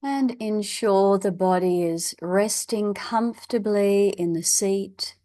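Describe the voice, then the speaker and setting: A woman leading a guided meditation as a teacher, in a measured, instructional way with long pauses. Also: mid-range voice, dark tone, dry recording, clean studio sound.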